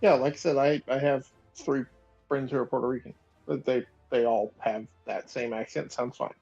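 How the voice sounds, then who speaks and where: A man's voice: mid-range voice, fairly even intonation, normal speed, vocal fry, a little mellow, slightly rough, highs cut off, soft background music. A man from Missouri chatting casually on a panel, blunt and dismissive. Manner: blunt, dismissive of online griping. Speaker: podcast panelist. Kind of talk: casual group chat.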